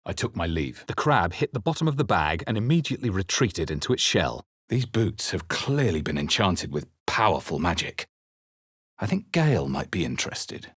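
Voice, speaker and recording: Low, wry fantasy adventurer. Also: male voice, big pitch swings, moderate pace, a bit bright, husky, no room sound, clean studio sound, exaggerated voice. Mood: wry, musing. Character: male fantasy adventurer hero, wry, guarded, observant. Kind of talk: scripted game voice lines and narration.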